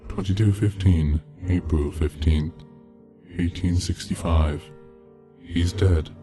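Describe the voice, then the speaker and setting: A man reading a scripted eerie story as narrator, in a measured, solemn, deadpan way. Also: low voice. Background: background music from game soundtrack.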